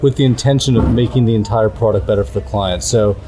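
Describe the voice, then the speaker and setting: A middle-aged man from Canada, a guest ethnographer speaking in a measured, reflective way with frequent restarts. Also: lowish voice, music in the background. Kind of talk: conversational interview.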